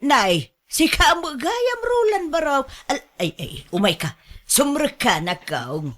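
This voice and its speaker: An unhurried, fretful grandmother played by a woman in a radio drama. She admonishes and fusses in drawn-out exclamations. Underneath the scolding she is caring. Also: sits high, sing-song, a bit bright, exaggerated voice. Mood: welcoming, flustered.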